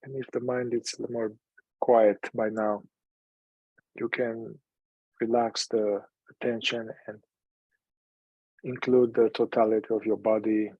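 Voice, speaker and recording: Man, meditation guide, very even tone, slow delivery, calm, long pauses, dull dry recording. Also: on the low side, husky, dry recording.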